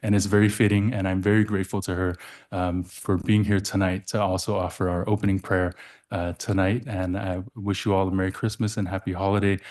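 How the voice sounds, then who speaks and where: A man whose first language is Samoan, a city council member giving a heartfelt farewell speech, halting and full of fillers and restarts. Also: sits low, little pitch movement, a little mellow. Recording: echoey council chamber.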